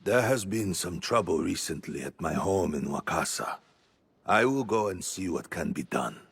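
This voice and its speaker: An actor playing a grave, weary warrior of feudal Japan in a video game drama, speaking in a measured, husky, gravelly voice. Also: male voice, sits low, expressive, full character voice. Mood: grave, thoughtful.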